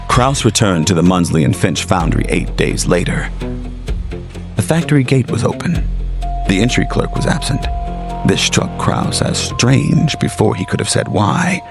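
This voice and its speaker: Male narrator, low gravelly voice, dramatic gothic storytelling, deliberate phrasing, music underneath. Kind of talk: scripted gothic horror audio narration.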